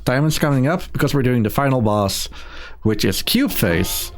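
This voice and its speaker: A man speedrunner talks through his own gameplay in a casual way. His voice sits at a medium pitch, with faint game music behind it. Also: animated, normal speed. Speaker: speedrunner commentating own run. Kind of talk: casual live gameplay commentary.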